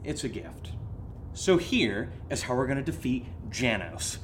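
Impulsive, goofy young American schemer played by a man. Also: unhurried. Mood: confident, scheming. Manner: casual, rambling, excitable. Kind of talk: naturalistic comedic audio drama dialogue. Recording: low-level home recording, faint noise.

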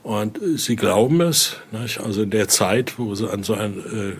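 A man giving an informal, halting explanation as a guide, often ending phrases with little questioning tags. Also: on the low side, steady tone, husky. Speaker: interviewee, exhibit guide and Voodoo expert. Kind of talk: informal spoken explanation during a guided tour.

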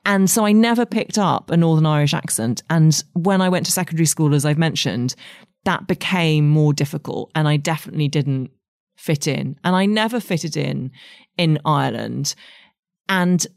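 Low-voiced English woman, thoughtful. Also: gravelly, darkish tone, no room sound, clean studio sound. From England. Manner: thoughtful, candid, measured. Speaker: writer and journalist guest. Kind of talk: reflective interview conversation.

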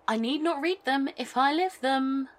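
An actress playing a devout, dismissive cult member in an audio drama, speaking in formal, cryptic phrases. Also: female voice, sits high, slow pace, fairly bright tone. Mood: challenging.

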